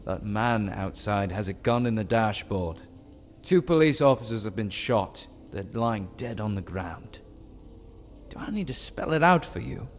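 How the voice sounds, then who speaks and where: Lowish, solemn mysterious judge. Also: male voice, animated, unhurried, faint music behind. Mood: stern, pressing. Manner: solemn, pointed, questioning. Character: stern, mysterious male judge weighing others' crimes. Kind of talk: dramatic video game monologue.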